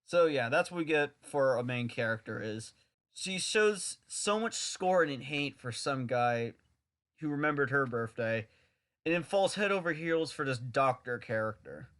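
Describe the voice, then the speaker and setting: A man's voice: medium pitch, expressive, a little slow, a bit bright. Sarcastic young man reviewing anime. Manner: sarcastic, blunt, rambling. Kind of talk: casual video review.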